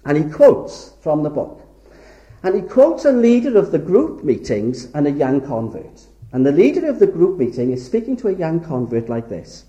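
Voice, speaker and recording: Male preacher from Wales, earnest and emphatic, lively pitch, roomy hall sound. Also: normal speed. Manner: earnest, emphatic, lingers on key words. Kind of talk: sermon lecture. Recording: echoey hall.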